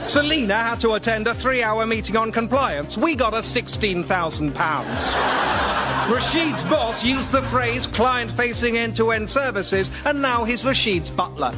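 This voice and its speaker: Brash, declamatory radio-comedy announcer, very high-pitched, lecturing with total certainty over loud music. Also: male voice, animated, measured pace. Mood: earnest salesman. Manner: emphatic, instructive, declamatory.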